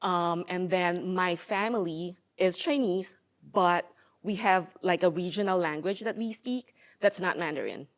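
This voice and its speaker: A woman from the Philippines, a talk show guest, calmly explaining the languages she grew up with. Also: fairly low pitch, measured pace. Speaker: guest, language school co-founder. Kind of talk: casual interview conversation.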